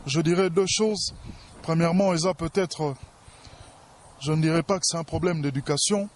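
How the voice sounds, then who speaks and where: A man's voice: on the high side, steady tone, normal speed, a little hiss or hum. A man with a Congolese accent speaking in a pompous, boastful, self-important way in a talk-show interview.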